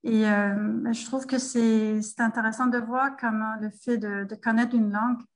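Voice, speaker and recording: A middle-aged woman talks as a guest over a remote call. Her pitch barely moves, and her speech stumbles along with many fillers and restarts. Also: fairly even intonation, normal speed, poor audio. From Denmark. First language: Danish. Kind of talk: casual interview over a remote link.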